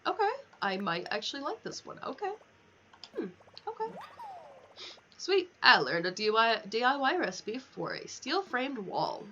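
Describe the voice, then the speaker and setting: A woman's voice: fairly high pitch, very animated, moderate pace, sharp tone, muffled recording, slight background noise. A young woman chatting casually on a game livestream, candid and rambling, swearing freely as she goes.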